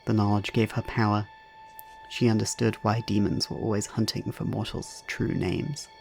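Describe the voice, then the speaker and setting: Low, gravelly man narrating drama. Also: flat delivery, normal speed, darkish tone, faint music behind. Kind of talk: scripted dramatic story narration.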